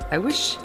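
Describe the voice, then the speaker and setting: Subdued weary mission veteran, music behind. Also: female voice. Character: somber, weary woman strike team member back from mission. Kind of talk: audio drama acting.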